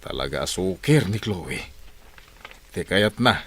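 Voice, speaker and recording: A man's voice: sits low, very animated, measured pace, a bit bright, breathy edge, exaggerated voice. A man playing a worried, indulgent father with an Ilocano accent and an earnest, resigned manner in a radio drama.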